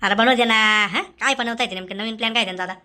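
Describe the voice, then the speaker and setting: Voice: mid-range voice, quick pace, pushed and bright. An actor playing a cocky, bossy gangster boss in a comic cartoon, drawling and peppering orders with questioning grunts. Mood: scolding, bossy. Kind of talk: comic cartoon dialogue in Marathi mixed with street Hindi.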